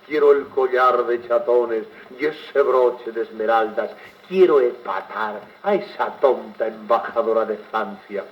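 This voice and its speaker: A man's voice: medium pitch, measured pace, muffled recording, lightly acted. A man voicing a spirited, wistful Spanish princess in a dramatic verse recital, with an accent from Spain, on an old gramophone record. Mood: haughty.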